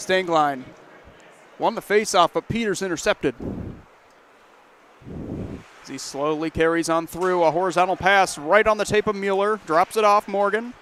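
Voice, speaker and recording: High-pitched male hockey play-by-play caller. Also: a bit bright, a little hiss or hum. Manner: brisk calls that lift in pitch on rushes toward the net. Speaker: play-by-play commentator. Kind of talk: live hockey play-by-play commentary.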